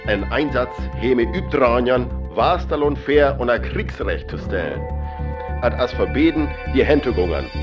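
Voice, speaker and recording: A man's voice: mid-range voice, lightly acted. A man acting a military officer in a radio play, making a stern official statement with a North Frisian accent. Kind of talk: formal announcement in a radio play.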